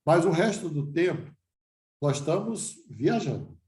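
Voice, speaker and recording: A man's voice: a little high, sing-song, measured pace, darkish tone, reverberant. An older man giving a reflective lecture to a class, asking himself questions and restarting phrases.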